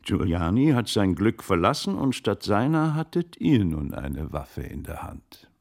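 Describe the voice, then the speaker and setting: An actor playing a shrewd, courteous gentleman of old Italy in a German radio play, with formal, measured delivery. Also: lowish voice, big pitch swings. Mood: measured, probing.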